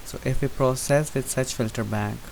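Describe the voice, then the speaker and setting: A man giving an unscripted, methodical software tutorial, explaining each step as he goes. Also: mid-range voice, normal speed, gravelly, rough recording. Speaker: presenter demonstrating software. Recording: hissy recording with steady background noise. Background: hiss.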